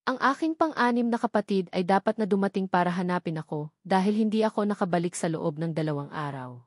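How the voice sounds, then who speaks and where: A woman's voice: fairly low pitch, fast, dry recording, studio quality, natural voice. A woman voicing an anxious, bashful young female fairy in a story reading. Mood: worried. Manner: fretful, bashful.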